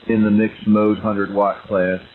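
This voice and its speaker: A man's voice: lowish voice, level tone, a little slow. A man chatting casually as an amateur radio operator, heard over a ham radio transmission. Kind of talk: casual on-air radio chat. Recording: muffled, very noisy ham radio signal.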